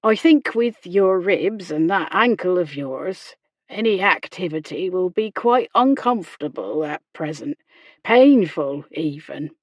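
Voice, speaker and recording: Lady reader as a kindly old-fashioned country doctor, slow, hesitant, indulgent, proper. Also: on the low side, animated, dry recording. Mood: measured, professional. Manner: gentle, measured, hesitant. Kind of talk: audiobook character dialogue, period romance.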